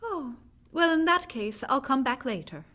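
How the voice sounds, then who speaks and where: Lady playing scared young heroine in old radio play, high voice, slow n dramatic, really swoopy. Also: sing-song, fairly bright tone. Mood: worried, soothing. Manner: dramatic, deliberate, anguished. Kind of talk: vintage radio drama acting.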